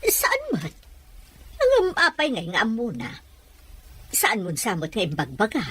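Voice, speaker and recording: A woman's voice: mid-range voice, huge pitch swings, a little slow, a bit bright, breathy edge, full character voice. A woman playing a concerned, probing mother in an audio drama. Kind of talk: Ilocano audio drama dialogue.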